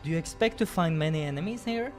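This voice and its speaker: A man's voice: expressive, fast talker, natural voice. A man playing a young, budding adventurer in a visual novel, nervous yet plucky, with a flustered and earnest manner. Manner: flustered, earnest, higher-voiced. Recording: music behind the voice.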